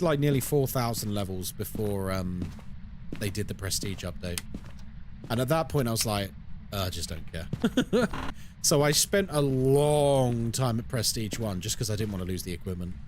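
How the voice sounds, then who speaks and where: Animated London man chatting on a live stream. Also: medium pitch, noisy background. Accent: London English. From London, United Kingdom. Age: adult, over 20. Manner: chatty, explains the game while answering chat. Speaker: game streamer. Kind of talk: casual live-stream chat.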